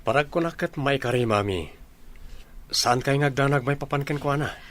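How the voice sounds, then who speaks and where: A man's voice: mid-range voice, moderate pace, a bit bright, lightly acted. A man playing a confident young soldier, a teasing son and suitor, in an Ilocano comedy radio drama.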